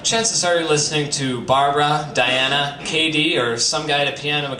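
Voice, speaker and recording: A man's voice: fairly high pitch, steady tone, moderate pace, recorded from a distance. A man doing a comedic live reading for an audience, theatrical, with dry joking asides. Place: bistro live show with audience. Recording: echoey, noisy live stream from off mic.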